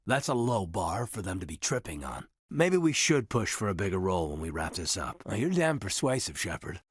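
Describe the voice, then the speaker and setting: A man acting in a video game as an earnest, loyal military officer, reserved and thoughtful, with a husky voice and a sincere, measured delivery. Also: low-pitched, sing-song, dry recording, studio quality, full character voice. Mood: wry, warm.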